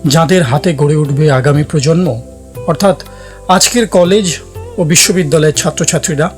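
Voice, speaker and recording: A man with a fairly high voice presents a story series in formal scripted narration. Music plays clearly behind him. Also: moderate pace. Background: background music.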